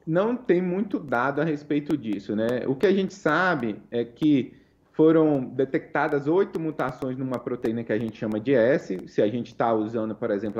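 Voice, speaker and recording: A Brazilian man, a researcher giving measured, explanatory answers as an interview guest, heard over a lo-fi link. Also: mid-range voice, darkish tone.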